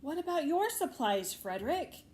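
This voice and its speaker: A woman's voice: high voice, sing-song, normal speed, darkish tone, a little hiss or hum, pitched above the performer's own voice. A woman voicing busy, reproachful little field mice in a storybook, questioning insistently. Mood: anxious.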